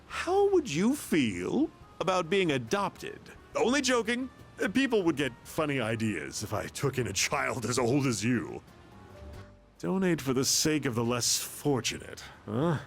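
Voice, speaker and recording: A man's voice: medium pitch, wildly swooping pitch, measured pace, faint music behind. A man playing a smug, boastful middle-aged businessman with an oily manner in a video game. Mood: sly, musing. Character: smug, greedy middle-aged businessman flaunting his wealth. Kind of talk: theatrical video game monologue.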